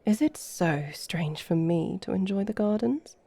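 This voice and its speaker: A woman playing a courtly, flirtatious vampire in an ASMR roleplay, speaking softly with a teasing, formal, elegant manner. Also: mid-range voice, measured pace, no echo. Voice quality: soft, breathy. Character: flirtatious, courtly female vampire guiding a guest.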